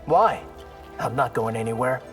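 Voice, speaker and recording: An actor playing a confident, defiant young warrior in a video game, speaking in a direct, dry, cocky way. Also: male voice, medium pitch, lively intonation, a little slow, lightly acted. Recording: loud music over the voice.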